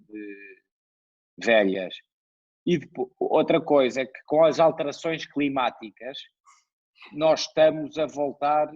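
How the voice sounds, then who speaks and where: A man with a European Portuguese accent, a winemaker speaking as an interview guest in a measured, explanatory way. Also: mid-range voice, no echo. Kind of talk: conversational interview. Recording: dull dry lo-fi sound.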